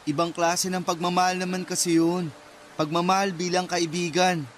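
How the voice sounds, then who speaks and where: Actor as a very high-pitched, protective young man. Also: little pitch movement, moderate pace, a little hiss or hum, lightly acted. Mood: vulnerable, sincere. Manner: reassuring, earnest. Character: gentle, protective, self-doubting young man trapped by flood. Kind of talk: Filipino radio drama acting.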